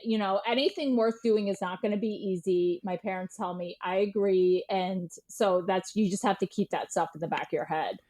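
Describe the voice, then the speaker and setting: A woman's voice: medium pitch, darkish tone. A woman speaking as an interview guest, telling stories conversationally in a blunt, casual, sweary way. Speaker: interview guest, writer and historian. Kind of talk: animated conversational storytelling.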